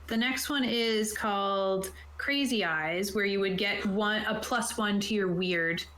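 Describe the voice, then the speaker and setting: A woman's voice: mid-range voice, unhurried, fairly bright tone. A woman casually explaining game rules on a tabletop stream, reading aloud and then putting it in informal plain terms. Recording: roomy, echoey sound.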